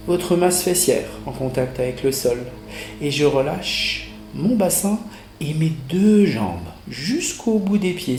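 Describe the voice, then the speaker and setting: A man with a fairly high voice guides a relaxation session very slowly. He gives short, measured instructions with pauses between them. The recording is roomy and echoing. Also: dark tone. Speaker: yoga nidra instructor. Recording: roomy echo, music behind the voice.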